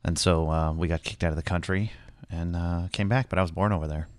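A man's voice: low voice, steady tone, fast, dry recording. An American man you'd hear as the guest on a podcast, spinning wry, stop-and-start anecdotes in a clean voice, close and dry on the mic. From Northern California, United States. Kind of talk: casual anecdotal storytelling.

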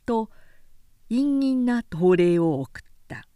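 A woman reading a historical novel aloud as a narrator, in a measured, deliberate storytelling manner. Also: a little high, big pitch swings, dark tone, no room sound, studio quality. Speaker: narrator, literary reader.

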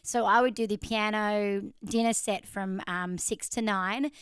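Woman host, chatty storyteller, smooth voice, dry booth sound. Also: medium pitch, fairly even intonation, unhurried, no echo. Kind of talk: casual interview chat.

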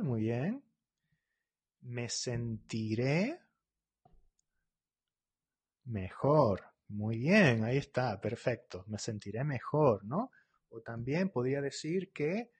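Husky-voiced man teaching live. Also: medium pitch, very animated. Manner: talks quickly, often checks in with "¿vale?" and "¿eh?"; reads out and corrects viewers' comments. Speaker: Spanish teacher. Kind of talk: live online lesson.

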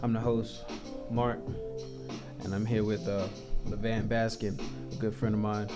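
A man's voice: fairly low pitch, level tone, measured pace, music in the background. A young man hosting a casual podcast chat, loose and laughing, often stumbling and restarting his sentences. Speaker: podcast host.

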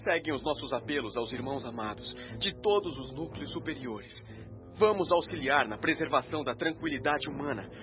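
Actor as solemn high-pitched male radio announcer. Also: muffled recording, natural voice. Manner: solemn, impassioned, oratorical. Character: solemn, anxious male spirit radio announcer pleading for peace. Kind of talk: radio drama emergency broadcast appeal. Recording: band-limited radio sound under loud music. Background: loud music.

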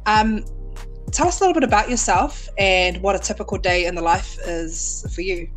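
A woman's voice: mid-range voice, moderate pace, soft background music. A woman from New Zealand hosting a podcast, upbeat and enthusiastic, full of effusive praise for her guest. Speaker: podcast host. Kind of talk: casual interview chat.

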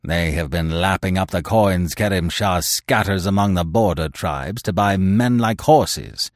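A man's voice: deep voice, a little slow, no room sound, studio quality. A man voicing a burly, bellowing hill-tribe chief who growls and roars his commands. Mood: scornful.

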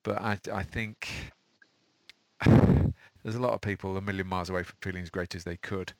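A man's voice: sits low, steady tone, normal speed, a little mellow, no echo. A man hosting a podcast interview, matey and upbeat, often restarting his phrases. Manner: matey and upbeat, with frequent repeated starts. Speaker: podcast host.

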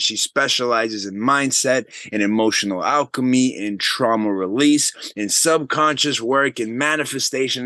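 A man hosting an interview podcast, earnest and encouraging, often giving soft murmured agreement. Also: medium pitch, no room sound. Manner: earnest, affirming with soft "mm" cues. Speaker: podcast host.

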